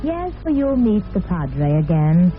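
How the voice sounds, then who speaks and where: A woman's voice: on the low side, very animated, unhurried, soft background music. A woman playing the storyteller hostess of a romantic old-time radio serial, narrating from a script. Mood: consoling, storytelling. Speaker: narrator and host. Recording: narrow old radio recording.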